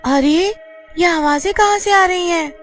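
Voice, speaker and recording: A woman voicing an excitable young girl in a cartoon who dreams of wrestling, talking in shrill exclamations. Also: a little slow. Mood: excited, pleading. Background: faint music.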